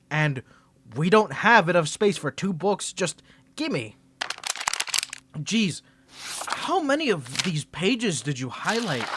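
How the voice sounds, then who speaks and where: A man's voice: on the high side, fairly bright tone, dry recording. A young man voicing a smug, sarcastic tsundere college rival in a scripted ASMR roleplay, teasing and condescending.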